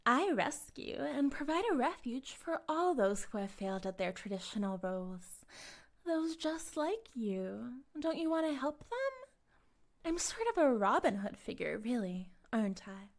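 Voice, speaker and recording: Casting: woman to play a female cult leader captor, sweetly menacing and self-righteous, higher voice, very unhurried, theatrical cooing for intimate audio drama. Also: expressive. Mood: rapturous, self-satisfied. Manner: theatrical, cooing, condescending, drifting into breathy whispers. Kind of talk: intimate audio roleplay monologue addressed to the listener.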